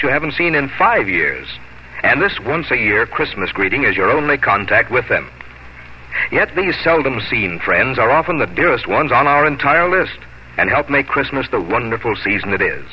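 Animated male 1950s radio announcer. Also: mid-range voice. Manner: polished, persuasive. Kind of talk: 1950s radio announcing, scripted sponsor message. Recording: muffled, hissy old radio broadcast.

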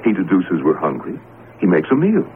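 Deep, excitable radio-drama professor. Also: male voice, moderate pace. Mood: incredulous. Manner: hesitant, exclaiming, animated. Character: excitable, anxious male Egyptology professor. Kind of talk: 1970s radio drama acting. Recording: noisy lo-fi radio broadcast.

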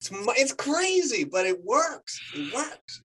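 A man's voice: very high voice, slow, slightly rough. A man in his forties whose first language is Spanish, chatting casually as an actor guest and telling stories with lots of fillers. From United States. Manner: animated storyteller, many fillers. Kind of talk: casual chat.

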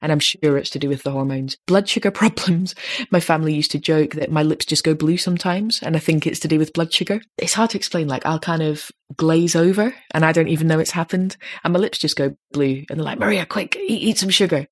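Husky low-voiced woman in her thirties. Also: a little fast. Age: 30s. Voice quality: slightly deep voice. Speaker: podcast host. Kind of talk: casual chat.

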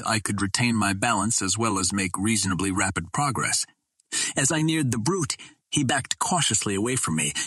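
A man reading a pulp adventure novel aloud as a narrator, in a measured, formal storytelling manner. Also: mid-range voice, a bit bright, slightly rough, no echo. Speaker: audiobook narrator.

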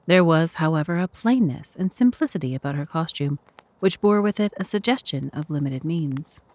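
A woman giving measured, formal narration of a scripted literary story. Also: deep voice, expressive, a little mellow, dry recording.

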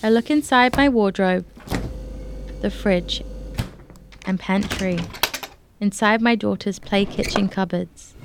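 A woman's voice: medium pitch, background noise. A woman, a journalist speaking on a podcast about her own reporting. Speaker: journalist, interview guest. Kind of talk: conversational interview and reading aloud of an article.

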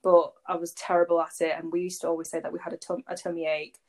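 A woman's voice: on the low side, little pitch movement, fast, darkish tone. A woman, chatting as a podcast guest in a rambling, conversational way full of fillers. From Warrington, England. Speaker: podcast guest, agency founder. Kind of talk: casual conversational interview.

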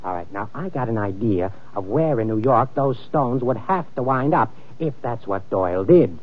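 Male actor doing a crafty crook, persuasive tough talk, medium pitch, old radio drama, bit muffled. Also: expressive, moderate pace, natural voice. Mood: scheming, persuasive. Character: shrewd, scheming crook nicknamed Colonel, a man. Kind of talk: old-time radio crime drama acting. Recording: dull old radio recording.

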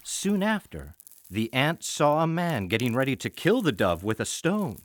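A man reading fables as scripted storybook narration in a measured, expressive way. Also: mid-range voice. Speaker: fable reader.

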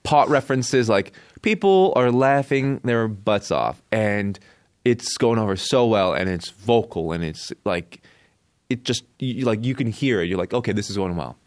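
A man with a Canadian accent telling a personal story casually as an interview guest, in short halting phrases. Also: lowish voice, animated, dry recording, clean studio sound. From born in Korea, raised in Canada.

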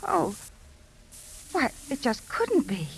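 An actress playing a concerned, earnest wife in a 1950s radio drama. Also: female voice, high-pitched, sing-song, slow, vocal fry, slight background noise, full character voice. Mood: shocked disbelief. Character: composed, concerned wife reacting with disbelief.

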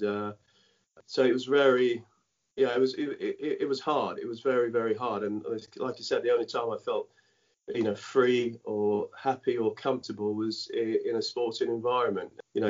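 This is a fairly low-pitched man who grew up in Dominica and first spoke patois, and he tells his story in a halting way, circling back with lots of "you know." The sound is dull and lo-fi, as if recorded on a muffled line. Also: darkish tone. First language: Dominican French patois. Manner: halting, many "you know" fillers and restarts. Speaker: former cricketer, guest. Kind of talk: reflective interview storytelling.

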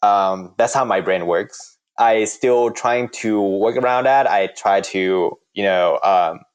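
A young man speaks English with a Thai accent, his voice sitting very low in pitch. He answers interview questions haltingly and pauses often on fillers as he searches for words. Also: smooth. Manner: halting, many fillers and restarts. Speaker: interviewee, corporate employee.

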